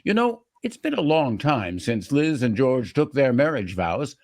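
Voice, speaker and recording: A man's voice: mid-range voice, very animated, measured pace, a little mellow. A man announcing and narrating in theatrical old-time radio style, reading from a script.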